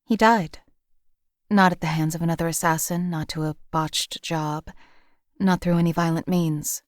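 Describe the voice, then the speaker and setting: A woman voicing a young female assassin in a game, speaking in a flat, clipped, unemotional way. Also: sits low, moderate pace, no echo, clean studio sound, close to an everyday voice. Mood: cold. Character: cold detached female assassin, young adult. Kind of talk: game companion voice lines.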